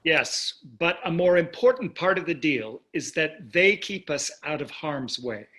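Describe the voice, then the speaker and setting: Man playing a dry, dismissive rich husband, higher-pitched. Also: expressive, unhurried, sharp tone. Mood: dry, blasé. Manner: dry, blasé, clipped remarks. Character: dismissive, privileged wealthy American husband in his sixties. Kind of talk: staged play reading over video.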